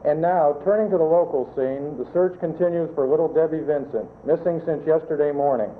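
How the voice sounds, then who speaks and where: A sober newsreader played by a man delivers a scripted local TV report in an educational film. His voice sits slightly high, and the old soundtrack is muffled with a little noise. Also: a little fast, close to an everyday voice. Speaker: TV news announcer.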